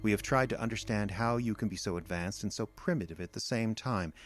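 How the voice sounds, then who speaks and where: A man performs a cold alien villain for an audio drama. The voice sits low and moves with patient, measured condescension, lecturing like a superior being who holds humans in contempt. Faint music runs underneath. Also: close to an everyday voice. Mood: smug, gloating.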